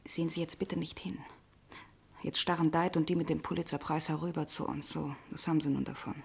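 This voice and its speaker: An actress in a radio play voicing a worldly, ironic young city woman with a breathy, husky voice. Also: deep voice, fairly even intonation, brisk, heavily acted. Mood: anxious, hushed. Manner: ironic, often hushed and breathy. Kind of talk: radio play acting in German.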